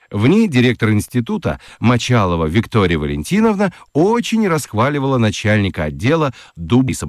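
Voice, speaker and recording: A man narrating a scripted children's radio story as an expressive storyteller. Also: medium pitch, no echo, clean studio sound.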